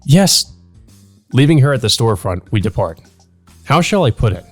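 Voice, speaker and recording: A man with a mid-pitched, animated voice reads visual novel narration aloud. He slips into casual let's play commentary, with music in the background. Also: fairly quick.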